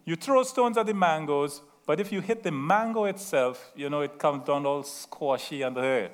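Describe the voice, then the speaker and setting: A man's voice: a little high, expressive, normal speed. A middle-aged man with a Trinidadian accent, preaching a sermon in a chatty, self-mocking way and often checking in with the room. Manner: chatty, laughing at himself, asks the room "right?". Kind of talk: sermon with asides and stories.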